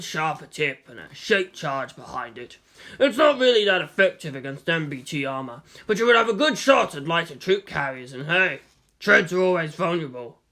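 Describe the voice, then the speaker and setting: A man voicing a weary, blunt old gunsmith in his seventies with a gravelly voice and a matter-of-fact manner, in a storybook reading. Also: fairly high pitch, bright, forceful tone, full character voice.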